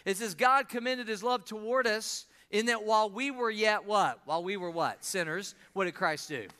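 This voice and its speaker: A pastor, preaching a sermon and drawing answers from the congregation. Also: male voice, sits high, moderate pace, sharp tone, smooth. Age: 50s. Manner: call-and-response preaching, asks the congregation to answer.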